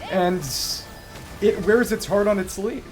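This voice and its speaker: A man's voice: high voice, steady tone, a little slow, soft background music. A man livestreaming a video game, giving casual, bookish commentary that wanders into long tangents. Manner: bookish, discursive, digressive.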